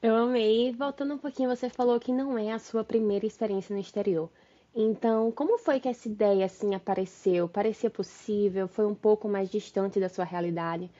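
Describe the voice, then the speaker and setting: Brazilian woman, young, podcast host; mellow voice, upbeat and friendly, slightly muffled lo-fi audio. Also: medium pitch, steady tone, fairly quick. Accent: Brazilian Portuguese. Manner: friendly, upbeat questioning with fillers. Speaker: podcast host, marketing analyst. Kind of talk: casual interview chat. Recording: dull lo-fi sound.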